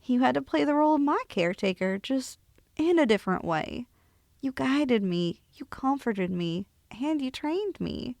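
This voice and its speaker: A woman acting a sweet, bashful young woman in an ASMR roleplay, speaking softly and hesitantly with affection. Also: sits high, animated, moderate pace, creaky, no echo. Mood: tender, earnest. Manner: soft, hesitant, affectionate, sighing. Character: sweet, bashful young woman shrunk tiny, tenderly confessing feelings.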